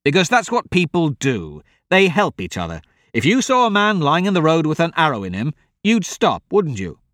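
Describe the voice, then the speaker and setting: A man's voice: a little high, wildly swooping pitch, normal speed, a bit bright, no room sound, studio quality, voice pushed up. A man voicing a good-natured, optimistic adventurer in a fantasy audiobook, earnest and persuasive.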